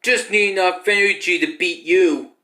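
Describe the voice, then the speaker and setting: A man's voice: high-pitched, moderate pace, bright, forceful tone. A man acting a cold, boastful, defiant cartoon fighter in an amateur production, speaking in a menacing, declamatory way.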